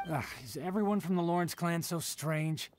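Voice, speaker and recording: An actor playing a wary, irritable commoner in a video game, blunt and exasperated. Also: male voice, on the high side, moderate pace, noisy background, lightly acted. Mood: irritated. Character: wary, irritable ordinary townsman, dismissive of nobles. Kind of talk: scripted video game voice acting.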